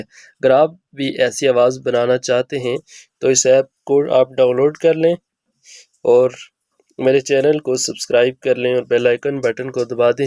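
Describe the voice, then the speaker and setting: A man's voice: mid-range voice, darkish tone, no echo. A man hosting a how-to tutorial, speaking instructionally and repeating each step as he goes. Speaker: video host giving an app tutorial.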